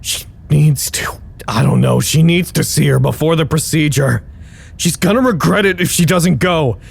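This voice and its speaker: A man's voice: a little high, normal speed, gravelly. A man playing a frantic, rambling facility worker in an audio drama, searching and talking theatrically to himself. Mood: desperate, worried. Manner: theatrical, rambling, talks to imagined listeners. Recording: echoey room with faint noise.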